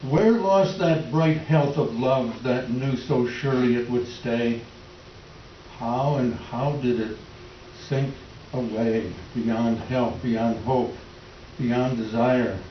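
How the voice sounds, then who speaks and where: A man's voice: medium pitch, natural voice. A man playing a worn-out, despairing Depression-era tenant farmer in a plaintive staged reading with rural folk phrasing. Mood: mournful, wondering. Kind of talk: readers theater dramatic monologue. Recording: distant mic, echoey room, faint noise, dull.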